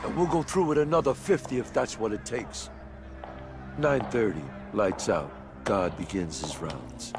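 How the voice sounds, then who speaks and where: An actor voicing a hard-boiled old-time gangster convict in a game, clipped and sardonic. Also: male voice, on the low side, unhurried, music in the background, lightly acted. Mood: determined, sardonic.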